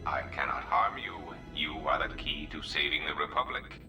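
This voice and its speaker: An actor voicing a cold, menacing droid crime lord in a video game, formal and calculating, with a gravelly, robotic voice. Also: male voice, animated, moderate pace, heavily acted. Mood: imperious, measured. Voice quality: very deep, gravelly. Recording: distant echoing voice under music.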